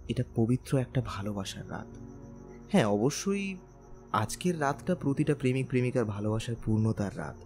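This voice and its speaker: A man's voice: medium pitch, warm tone, close to an everyday voice. A man playing a tender, teasing young bridegroom in a romantic audio drama, speaking earnestly and persuasively. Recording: music bed under the voice.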